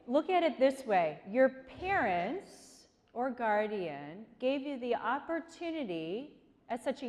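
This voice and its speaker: A woman's voice: a little high, a little mellow. A woman in her forties from Korea gives a chatty motivational talk to students, often asking the audience questions. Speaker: guest speaker. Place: large hall. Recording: long hall reverb.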